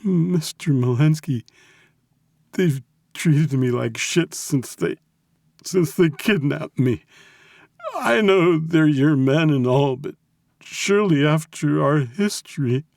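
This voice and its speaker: A male narrator plays a terrified captive businessman in an audiobook thriller. The voice is pushed up high into a tearful whine, slow and halting, pleading for mercy. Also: expressive, vocal fry, darkish tone, no echo, heavily acted. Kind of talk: audiobook character acting.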